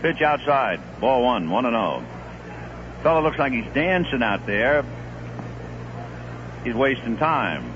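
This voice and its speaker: Male baseball announcer, conversational and lively, old radio sound. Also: mid-range voice, takes it slowly, long pauses. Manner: lively, conversational, reeling off statistics. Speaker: play-by-play announcer. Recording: muffled 1970s radio broadcast with hiss.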